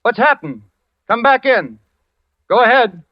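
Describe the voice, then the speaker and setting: A man playing an earnest, anxious engineer and ham radio operator in a 1940s radio thriller, talking in brisk, slangy calls. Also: extremely high pitch, big pitch swings, full character voice. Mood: worried, urgent. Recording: old muffled, roomy radio recording.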